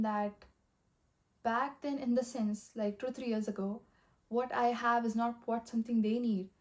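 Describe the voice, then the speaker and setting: A young woman talking in a rambling, earnest way, full of fillers, with a soft voice that has a slight husky edge. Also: fairly high pitch. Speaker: vlogger. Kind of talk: casual motivational monologue.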